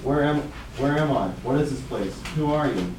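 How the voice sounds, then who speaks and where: A man's voice: mid-range voice, animated, moderate pace, lightly acted. Curious schoolboy played by a man, heavy echo. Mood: bewildered. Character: curious, easily confused schoolboy full of questions. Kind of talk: scripted student puppet show. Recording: very echoey room, distant mic, clear noise.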